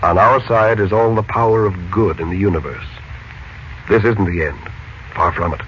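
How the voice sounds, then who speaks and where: Low-voiced, assured radio hero. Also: male voice, a bit bright. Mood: confident, reassuring. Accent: American. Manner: measured, reassuring, assured. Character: calm, confident mystic hero and kindly uncle. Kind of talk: 1940s radio serial acting. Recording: muffled, noisy late-1940s radio recording.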